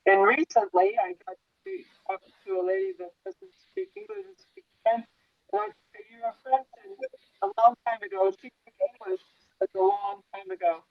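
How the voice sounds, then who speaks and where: A study group member sharing a story in a rambling, lively way. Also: medium pitch, normal speed, no room sound. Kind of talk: casual group discussion. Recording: dull lo-fi sound.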